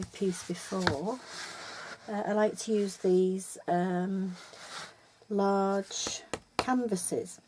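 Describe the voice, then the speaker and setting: A woman's voice: medium pitch, darkish tone, a little hiss or hum, low-quality recording. An older woman teaching felt-making from her home, talking casually with many ums and restarts. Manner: chatty, hesitant, with many ums and self-corrections. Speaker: felting teacher. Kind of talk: casual video tutorial. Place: home room, upstairs.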